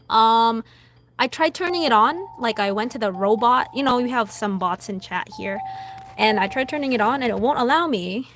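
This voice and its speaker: A young woman hosting a casual livestream, chatty and greeting viewers by name, while she is losing her voice. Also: fairly high pitch. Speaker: livestream host.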